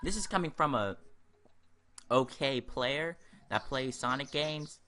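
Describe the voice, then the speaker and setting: A man's voice: medium pitch, slow, bright, forceful tone, slight background noise. A man giving casual commentary over a video game walkthrough, rambling and hesitant, often repeating himself. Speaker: gaming video host.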